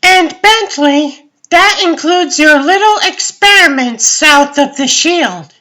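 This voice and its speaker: Actress as young female commander for comic dub: high voice, deliberate delivery, authoritative and dramatic. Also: animated, bright, forceful tone, heavily acted, low-quality recording. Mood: bossy, assured. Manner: commanding, brisk-tongued, dramatic. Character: bossy, confident young female science team leader, God's daughter. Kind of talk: fan comic dub voice acting.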